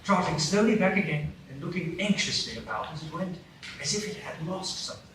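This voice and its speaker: A man's voice: high-pitched, expressive, a little fast. A man narrating a live radio play in a storytelling way. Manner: clear, storytelling. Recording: echoey stage, distant mic, room noise.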